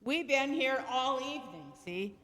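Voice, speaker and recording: A tough, defiant gangster played by a woman in a 1930s-style radio play. The voice is fairly high and blustering, full of streetwise slang. It rings in a large, reverberant hall. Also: expressive, a little slow, a bit bright. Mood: brash, dismissive. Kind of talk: recreated 1930s radio drama acting. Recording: reverberant hall stage recording.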